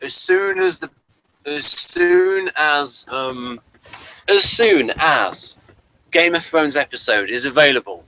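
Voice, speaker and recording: Bright, higher-voiced man hosting a review. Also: expressive, slow pace, rough recording. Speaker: host of a fan review channel. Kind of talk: casual review chat.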